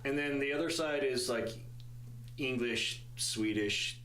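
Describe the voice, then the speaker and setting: A man's voice: medium pitch, a little slow, a bit bright, roomy sound, slight background noise, low-quality recording. A man chatting on a podcast, rambling and stammering through crude jokes. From part Spanish and French heritage. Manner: rambling, stammers and repeats words, crude joking. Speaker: podcast guest. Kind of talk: casual chat.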